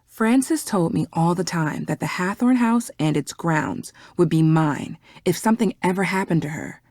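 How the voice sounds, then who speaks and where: An actress playing a guarded, defensive young herbalist shopkeeper in a video game, curt and suspicious in manner. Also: female voice, fairly low pitch, moderate pace, darkish tone, no echo, studio quality. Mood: guarded, explanatory.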